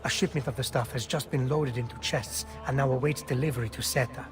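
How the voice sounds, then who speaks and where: A man playing a brisk, streetwise thief in a video game. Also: mid-range voice, fairly even intonation, music in the background, natural voice. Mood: instructive. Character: brisk, streetwise Italian thief giving orders. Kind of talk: video game cutscene acting.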